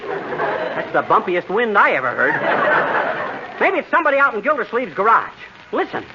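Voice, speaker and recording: An actor playing a blustering, wisecracking American husband in a 1940s radio comedy. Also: male voice, high-pitched, wildly swooping pitch, normal speed, bright, forceful tone, a faint crowd, heavily acted. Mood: puzzled, suspicious. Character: blustering, irritable, wisecracking small-town husband. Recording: dull, hissy 1941 radio recording.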